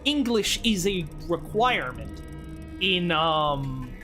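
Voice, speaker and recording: A man's voice: sits high, sing-song, slow pace, sharp tone, soft background music. A man hosting a let's play, talking casually with frequent swearing, stammering restarts and laughter. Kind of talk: casual gameplay commentary.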